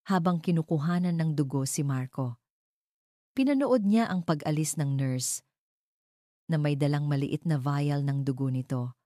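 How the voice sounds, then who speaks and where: Woman narrating a dramatic story, low mellow voice, very dry recording. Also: no room sound.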